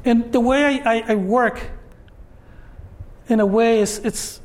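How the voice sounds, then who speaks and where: A man's voice: very high voice, takes it slowly, darkish tone. A man in his fifties from Tijuana with a Mexican accent, speaking as an artist guest in a hesitant, filler-heavy way, ending phrases with "no?". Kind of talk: conversational talk on stage.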